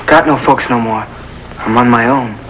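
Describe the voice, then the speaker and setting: A man's voice: medium pitch, normal speed, a bit bright, close to an everyday voice. An actor playing an earnest, stubborn young country boy with a folksy, plain way of talking in a 1950s TV drama. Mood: shy, earnest. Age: teenager. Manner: folksy, plain-spoken, determined. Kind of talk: 1950s American television drama acting. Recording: noisy old 1950s television soundtrack.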